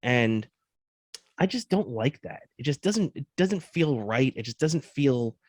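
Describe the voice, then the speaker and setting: A man's voice: mid-range voice, sing-song, unhurried, a little mellow, no echo. A man in his thirties co-hosting a sports podcast, talking casually and laying out his opinions. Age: 30s.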